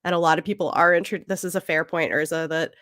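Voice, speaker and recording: Casual woman podcast co-host, lowish voice, close sound. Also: fairly even intonation, quick pace, a bit bright, no echo. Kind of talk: casual book discussion.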